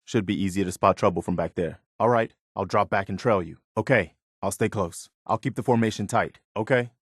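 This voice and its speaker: Steadfast militia officer, lowish voice, sincere, plainspoken, loyal game companion, dry close recording. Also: male voice, brisk, husky, no echo. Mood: cooperative, calm. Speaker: video game voice actor. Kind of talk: acted video game dialogue.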